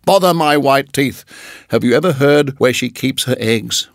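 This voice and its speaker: Man doing a fierce little mongoose, brisk, impatient, gravelly tone, dry close sound, storybook reading. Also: medium pitch, dry recording, lightly acted. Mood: urgent, impatient. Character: brave young male mongoose, impatient and fierce.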